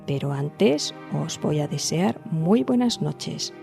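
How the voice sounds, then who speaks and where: A woman hosting and narrating a scripted storytelling podcast, addressing listeners directly in measured phrasing. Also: low voice, expressive, gravelly, dark tone. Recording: music behind the voice. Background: background music.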